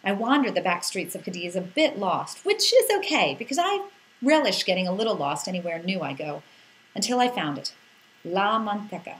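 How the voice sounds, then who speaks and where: A woman reading a scripted first-person story as an expressive narrator who acts out the exclamations in it. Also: medium pitch, normal speed, rough recording.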